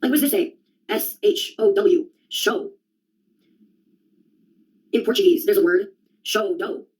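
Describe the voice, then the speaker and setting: A woman's voice: medium pitch, sing-song, measured pace, a little mellow, breathy edge, rough recording. A young adult woman in a rambling solo monologue, sardonic and profane, jumping from one topic to the next. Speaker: vlogger.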